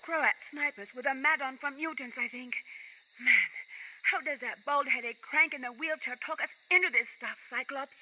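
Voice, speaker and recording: A woman's voice: high voice, normal speed, heavy background noise, close to an everyday voice. An actress playing a sardonic, stressed young woman mutant and former thief. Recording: loud music score behind.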